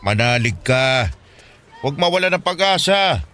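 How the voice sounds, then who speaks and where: A man's voice: mid-range voice, sing-song, normal speed, sharp tone, a little hiss or hum. Fatherly older Filipino guardian. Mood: kindly, teasing. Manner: fatherly, admonishing, colloquial. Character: kindly, preachy older bachelor slum-dweller, fatherly guardian. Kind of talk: Filipino radio drama acting.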